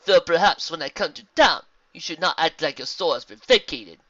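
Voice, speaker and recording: A woman voicing a wise, calm zebra sorceress who speaks in deliberate, formal rhymed phrases. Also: low voice, no room sound, dull audio, pitched above the performer's own voice. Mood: gracious.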